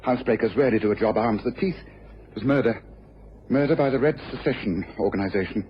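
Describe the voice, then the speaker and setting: An actor playing a brisk, commanding detective in a radio crime drama, with an incisive, intense and self-reproachful manner. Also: male voice, mid-range voice, close to an everyday voice. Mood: brusque. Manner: incisive, assertive, lecturing. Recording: dull, hissy old radio recording.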